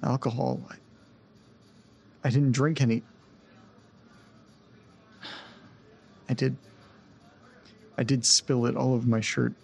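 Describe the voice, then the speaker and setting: A rattled apologetic dude, raspy w lots of fry, halting, audio drama. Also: male voice, medium pitch, level tone, darkish tone. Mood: dazed, anxious. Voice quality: husky, creaky. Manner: halting, rambling, sighing. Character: dazed, anxious, apologetic man waking in custody. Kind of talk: naturalistic audio drama acting.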